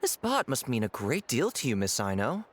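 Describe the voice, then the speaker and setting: A man's voice: mid-range voice, wildly swooping pitch, normal speed, breathy edge. A man voicing an effusive, polite guild merchant in a fantasy video game. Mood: courteous.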